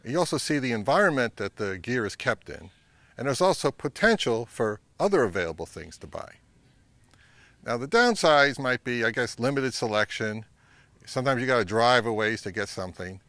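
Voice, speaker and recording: Middle-aged man explaining with lively pitch swings. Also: normal speed, no echo. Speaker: vintage audio channel host. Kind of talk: instructional vlog to camera. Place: outdoors by a pond and on a wooded trail.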